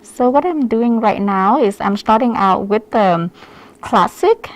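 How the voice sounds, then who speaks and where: A woman's voice: on the high side, lively intonation, a little slow. Vietnamese-accented woman explaining, somewhat hesitant. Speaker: lash artist giving a demonstration. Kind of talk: casual explanation on a live stream.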